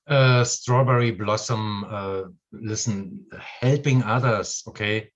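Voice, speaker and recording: A man's voice: lowish voice, steady tone, slow, lo-fi sound. A man with a German accent, hosting a casual live stream and rambling with many fillers and restarts. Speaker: host.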